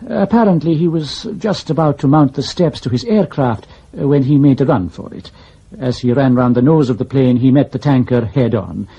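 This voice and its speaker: Mellow, husky intelligence officer. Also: male voice, mid-range voice, moderate pace. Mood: reporting. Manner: deferential, hesitant. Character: aggrieved, dutiful intelligence section leader, guarded man. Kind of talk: radio drama acting. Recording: muffled radio drama recording.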